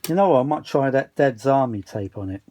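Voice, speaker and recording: A man presents a hobby demonstration from an indoor workbench, unscripted and informal. His voice sits at a middling pitch. He rambles along with open enthusiasm, chatting to the viewer from behind the camera. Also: expressive, fairly quick, warm tone, soft background music. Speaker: vintage audio hobbyist presenter. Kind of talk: casual unscripted chat while demonstrating a machine.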